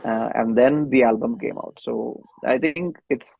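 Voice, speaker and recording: A man's voice: mid-range voice, measured pace, dry recording. A man with an Indian accent, a band musician recounting things casually in an interview. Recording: thin phone-like line, dry.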